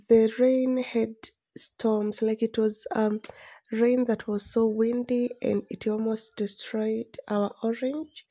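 A young adult Kenyan woman narrating a personal vlog in a gentle, unhurried, reflective way. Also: on the high side, vocal fry, slightly rough. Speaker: vlogger narrating her village life. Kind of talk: soft-spoken vlog voice-over.

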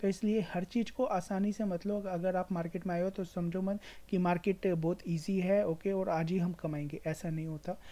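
A woman's voice: fairly low pitch, fairly even intonation, moderate pace, hardly any pauses, mellow. A woman with an Indian accent hosting an informal explainer, advising emphatically with repetition and mixing in English words. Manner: mixes English words into speech. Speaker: stock market video host. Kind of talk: informal explainer over screen share.

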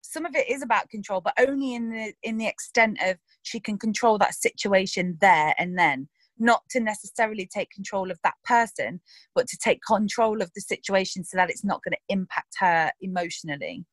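A young woman chats about her acting role in a lively, expressive way, slipping into little voices when she quotes someone. Her voice sits in a middle range. The sound is dry and close, with no room in it. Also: mid-range voice, a little fast, no room sound. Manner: animated, acts out quoted lines, laughs. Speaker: actress interviewed about her characters. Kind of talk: casual interview chat.

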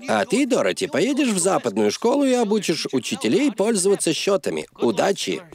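Gravelly, wildly expressive cartoon captain. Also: male voice, sits high, a little fast, full character voice. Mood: commanding. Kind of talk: cartoon dub acting.

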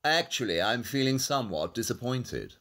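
A self-assured genius detective, audio drama, precise and theatrical, pitch moves around loads. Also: male voice, very animated, normal speed, fairly bright tone. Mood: superior, expository. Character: brilliant, self-assured male Victorian-style detective. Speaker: actor in an audio play.

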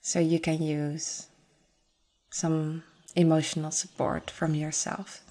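A woman guides a meditation in a low, soft-toned voice. Her delivery is very slow and even, with little rise or fall. She sounds soothing and gentle, leaving space after each phrase. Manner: soothing, gentle, unhurried with long pauses. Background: forest nature sounds with birds.

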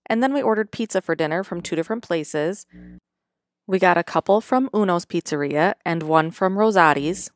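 A middle-aged woman narrates her family weekend in a steady, matter-of-fact voice-over. Her voice is slightly low and plainly delivered. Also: moderate pace, dry recording, studio quality. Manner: even, matter-of-fact storytelling.

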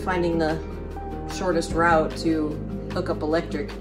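A middle-aged woman in casual chat at home, calmly agreeing and explaining. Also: sits low, measured pace, recorded from a distance, music in the background. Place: home kitchen.

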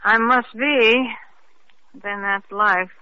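A bitter, tipsy young wife in a radio crime play. Her voice is high and very sharp, rambling through sardonic slang. It comes through a muffled old recording. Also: extremely slow, exaggerated voice. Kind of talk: old-time radio acting.

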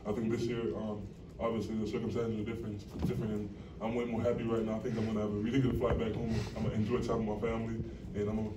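A man's voice: on the low side, racing. A young man, a college basketball player, answering at a postgame press conference in an earnest, rambling way. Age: young adult. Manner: earnest, rambling with many fillers. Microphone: table mic, recorded from across the room. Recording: echoey room, distant mic, steady noise.

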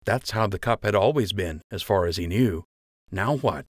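A man's voice: lowish voice, moderate pace, no echo, clean studio sound, lightly acted. A man voicing an ambitious young rabbit archer's earnest inner thoughts in an audiobook. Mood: puzzled, uneasy. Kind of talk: audiobook character voice.

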